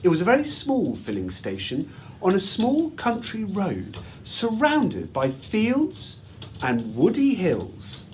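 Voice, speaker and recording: Very animated man reading a bedtime story. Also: high voice, a little hiss or hum. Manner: measured, deliberate, storytelling delivery. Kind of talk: expressive children's storybook narration.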